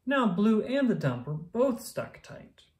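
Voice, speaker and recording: A person reading a rhyming children's picture book aloud with expressive, measured storytelling. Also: mid-range voice. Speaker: storybook reader.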